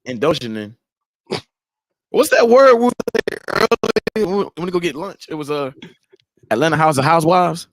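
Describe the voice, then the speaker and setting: A man's voice: a little high, expressive, normal speed, pauses often, no echo. Laid-back young man hosting a podcast. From Haiti. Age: 20s. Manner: laid-back, slangy, laughs often. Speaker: podcast host. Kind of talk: casual chat.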